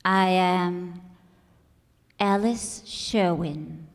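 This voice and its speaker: A woman's voice: fairly low pitch, very slow. A woman playing a lonely, mysterious recluse in an old-time radio drama, with a formal, timid manner. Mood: eerie. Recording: echoey hall stage recording.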